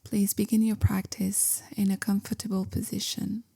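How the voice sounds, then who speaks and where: A woman guiding a meditation in a gentle, calm, measured way, softly spoken with whispery phrase endings. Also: mid-range voice, vocal fry, breathy edge. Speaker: meditation guide, yoga teacher. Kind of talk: guided meditation, slow soothing instruction.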